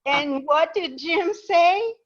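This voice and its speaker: A woman's voice: very high-pitched, slow, muffled recording. An older woman raised in Peru telling humorous, chatty stories about her life to a live audience. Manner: humorous, chatty, self-deprecating. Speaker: guest speaker. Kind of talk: anecdotal storytelling to a live audience.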